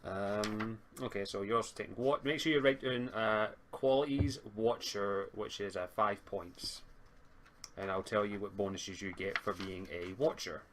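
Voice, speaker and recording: A man's voice: on the low side, unhurried, fairly bright tone. Man running a tabletop game, noisy recording. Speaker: game master, host. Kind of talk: casual explaining of tabletop game rules. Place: online group game session, streamed. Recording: noticeable background noise.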